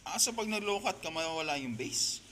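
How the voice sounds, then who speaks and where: A man's voice: a little high, animated, a little fast, crowd noise. A man with a Filipino accent learning at a seminar, eagerly interjecting casual questions and short acknowledgements. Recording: room with people chattering.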